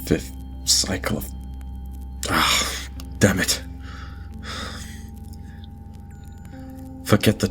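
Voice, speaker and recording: An actor playing a guilt-ridden lord in an audio drama, dictating a private log in halting, stammering speech broken by sighs. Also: male voice, low voice, vocal fry, a bit bright, full character voice. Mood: weary, flustered. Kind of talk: audio drama acting, personal log monologue. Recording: music behind the voice. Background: music.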